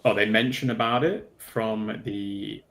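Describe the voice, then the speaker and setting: A man's voice: on the low side, steady tone, unhurried, a bit bright. A man talking casually in a livestream panel discussion, going into detail in a pedantic way. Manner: detailed, self-confessedly pedantic.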